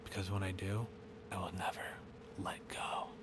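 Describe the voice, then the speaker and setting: Man acting a low-voiced, coldly casual killer. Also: little pitch movement, moderate pace, noisy background, natural voice. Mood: hushed threat. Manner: coldly casual, sinister, darkly glib. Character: menacing unrepentant male killer hiding in an attic. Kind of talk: first-person horror monologue.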